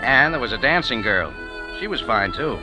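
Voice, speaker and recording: Man playing a wry, animated hard-boiled adventurer. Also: medium pitch, moderate pace, close to an everyday voice. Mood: wry narration. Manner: sardonic, clipped, offhand. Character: wry, skeptical, hard-boiled male cafe owner in Cairo. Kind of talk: 1940s-50s radio drama, first-person noir narration. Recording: old radio broadcast with music underneath.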